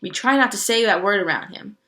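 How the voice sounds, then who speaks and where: A woman's voice: mid-range voice, animated, fairly quick, sharp tone. A woman voicing a fussy, protective mother possum who dotes on her baby in a storybook. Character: anxious, doting mother possum.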